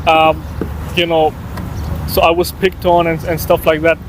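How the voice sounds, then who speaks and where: Soft-spoken twenty-year-old man with fillers. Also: fairly high pitch, flat delivery, measured pace, background noise. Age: 20s. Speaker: podcast guest, medical student. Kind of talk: casual podcast conversation.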